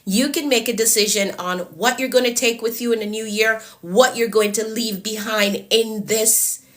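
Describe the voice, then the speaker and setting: A woman's voice: a little high, steady tone, normal speed. A woman with a stuffy, fading voice hosts a live stream as a productivity coach, greeting viewers by name and repeating her encouragements. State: congested and losing her voice. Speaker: productivity coach hosting a livestream.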